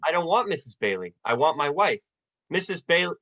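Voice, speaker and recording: A man's voice: on the high side, big pitch swings, fairly quick, fairly bright tone. A young man playing an earnest, stammering, hot-headed small-town husband in a folksy 1940s radio drama style. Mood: agitated, apologetic. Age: young adult.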